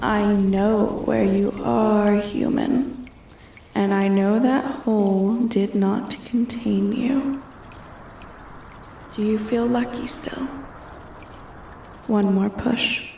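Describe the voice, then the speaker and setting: A woman voicing a bored, menacing female dragon who taunts a human thief in a slow, imperious, deliberate manner for a roleplay ASMR. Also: mid-range voice, fairly even intonation, gravelly, dark tone, reverberant, far from the mic, slight background noise. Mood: menacing, taunting. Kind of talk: roleplay ASMR, scripted character acting.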